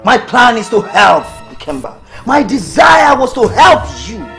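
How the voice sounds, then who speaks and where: A man's voice: very high voice, big pitch swings, a little slow, vocal fry, fairly bright tone, faint music behind, full character voice. A man with a Nigerian accent playing an angry, domineering rich man who scolds and threatens in a drama. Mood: threatening. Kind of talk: Nollywood drama acting.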